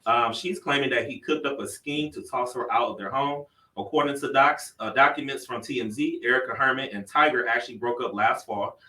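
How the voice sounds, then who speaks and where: A man's voice: mid-range voice, fairly quick, sharp tone, roomy sound, recorded from a distance, low-quality recording. Slangy thirties male podcast host. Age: 30s. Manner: playful, slangy storyteller. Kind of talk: casual chat and celebrity gossip segment.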